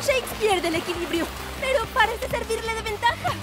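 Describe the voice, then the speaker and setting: A woman's voice: very high voice, expressive, moderate pace. A woman voicing an upbeat, dramatic female football commentator in a cartoon. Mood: excited. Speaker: sports commentator. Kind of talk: animated sports commentary. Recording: loud music under the voice.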